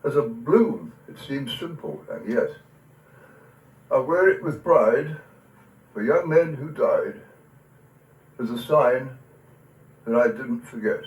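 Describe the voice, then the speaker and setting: A man's voice: medium pitch, animated, takes it slowly, pauses often. A man playing a tender, wistful old grandfather and war veteran in a recital of dramatic verse, with an emotional quiver in his voice. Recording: echoey room, distant from the mic.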